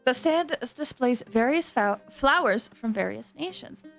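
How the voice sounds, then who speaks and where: High-voiced, animated woman game streamer. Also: unhurried, vocal fry. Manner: chatty, playful, reads game text aloud. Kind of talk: casual live gameplay commentary. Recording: game music behind the voice. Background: game music.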